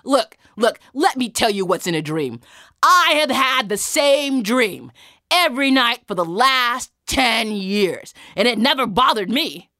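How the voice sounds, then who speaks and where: A woman voicing a gruff, scornful male soldier on guard in an oral folktale, with an emphatic, blustering, commanding manner. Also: on the high side, lively intonation, measured pace, pushed and bright, dry recording, clean studio sound, pitched above the performer's own voice, full character voice. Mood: mocking. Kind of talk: theatrical oral storytelling.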